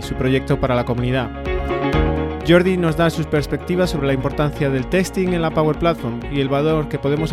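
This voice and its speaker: Man hosting a podcast over music. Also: fairly high pitch. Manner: steady, scripted-sounding intro. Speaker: podcast co-host. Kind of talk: podcast introduction and casual chat.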